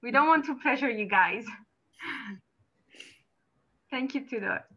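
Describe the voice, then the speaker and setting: A woman's voice: a little high, expressive, measured pace, dull audio. A woman hosting an online workshop over a video call, friendly and laughing often. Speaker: workshop host. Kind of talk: online meeting chat.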